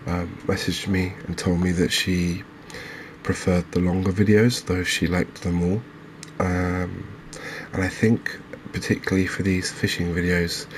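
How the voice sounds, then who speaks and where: A soft-spoken man giving relaxed, unhurried ASMR commentary on a video game, speaking close to the mic. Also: deep voice. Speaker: gaming video creator. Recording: clear background noise, ambience.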